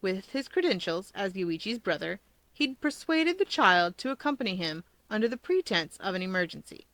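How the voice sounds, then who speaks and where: A woman's voice: mid-range voice, sing-song, normal speed, fairly bright tone, dry recording. A woman reading scripted fiction as a story narrator.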